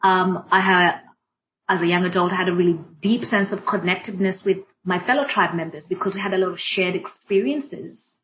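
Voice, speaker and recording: A woman with a Kenyan accent and Swahili as her first language, reflecting deliberately on her own life as a panel guest, rephrasing for emphasis. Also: on the low side, highs cut off, slight background noise. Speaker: panel guest, diversity and inclusion practitioner. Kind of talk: reflective personal account in a group discussion.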